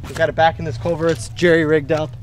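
A man's voice: on the high side, level tone, fast. A young man hosting an outdoor trapping vlog, talking casually to the camera with laid-back slang and folksy exclamations. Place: outdoors by creeks and marshy fields. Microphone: camera-mounted shotgun mic. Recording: outdoor location sound with faint background noise.

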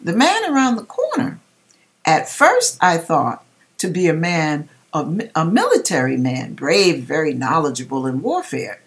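A middle-aged woman reading aloud and commenting in a deliberate, dramatic way with pauses, recorded in a home kitchen. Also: low voice, wildly swooping pitch. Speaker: vlogger reading a viewer's dream and commenting. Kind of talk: expressive read-aloud with religious commentary.

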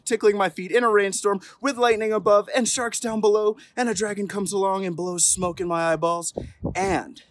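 A man's voice: high-pitched, slight background noise. A young man reading a children's picture book aloud outdoors in a playful, theatrical way with emphatic build-ups. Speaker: police officer reading at storytime. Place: outdoors on an open terrace.

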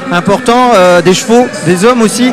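A man's voice: sits high, moderate pace. A man hosting a live talk segment, talking with many fillers and restarts into a handheld mic in a large indoor arena. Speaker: host. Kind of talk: live talk show interview. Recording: music and crowd noise behind.

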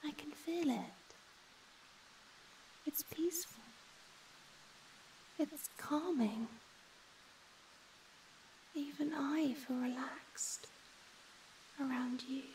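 Casting: female performer for a shy young female ghost, high, mellow whisper, tender and hesitant, ASMR roleplay. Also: drawn-out words, long pauses, far from the mic, slight background noise, low-quality recording. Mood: tender, soothing. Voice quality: soft, breathy, whispery.

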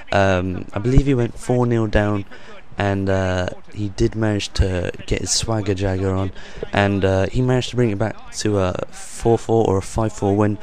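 A young man with a croaky voice hosting his gaming channel, rambling off the cuff with lots of ums and uhs over game footage. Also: lowish voice, darkish tone.

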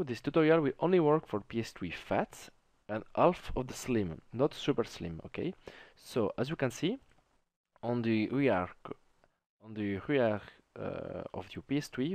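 A young man from Belgium whose first language is French, walking through a console tutorial in halting English with frequent restarts and self-corrections. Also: medium pitch, a little mellow, dry recording. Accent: French-speaking Belgian. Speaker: tech tutorial maker. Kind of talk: screen-recorded how-to walkthrough.

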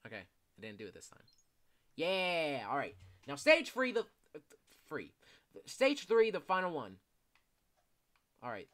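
A young man giving casual, excitable commentary while playing a video game, swearing often and yelling when chased. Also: on the high side. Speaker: gameplay commentator. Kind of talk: casual live gameplay commentary.

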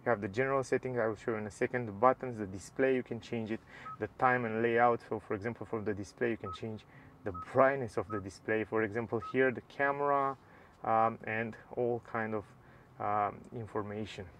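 A man reviewing a car's dashboard and screens from the driver's seat, in relaxed, slightly non-native English. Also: on the low side, a little fast, a little hiss or hum. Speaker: car reviewer. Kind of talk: casual walkthrough narration. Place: inside a parked car.

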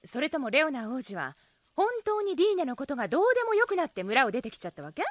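A woman's voice: high-pitched, big pitch swings, quick pace, dry recording. An actress playing an outspoken, self-assured young female elf with a blunt, feminine way of talking, in an anime audio drama. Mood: pointed, teasing.

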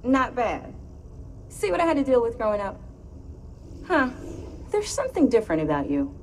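Playful young woman tech whiz, very expressive. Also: a little high, normal speed, a little hiss or hum. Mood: teasing, curious. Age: young adult. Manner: teasing, self-assured. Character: playful, confident young female tech prodigy. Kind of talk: cinematic video game dialogue.

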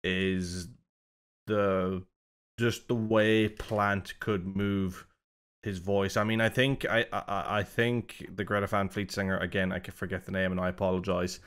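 A man's voice: low-pitched, level tone, unhurried, fairly bright tone, clear, resonant tone. A man hosting a music reaction video, talking casually and critically, rambling and breaking off mid-sentence. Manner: rambling, self-interrupting, critical.

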